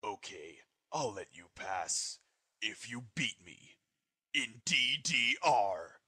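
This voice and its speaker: A man as a husky, menacing teen ninja. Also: lowish voice, lively intonation, takes it slowly, pushed and bright, no room sound, clean studio sound, exaggerated voice. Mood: cool challenge. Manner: curt, threatening. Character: menacing, short-tempered teenage boy ninja. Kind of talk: comedic parody dub.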